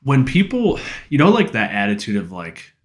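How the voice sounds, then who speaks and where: A man's voice: medium pitch, wildly swooping pitch, normal speed. An American man co-hosting a podcast, giving advice in a casual, emphatic way.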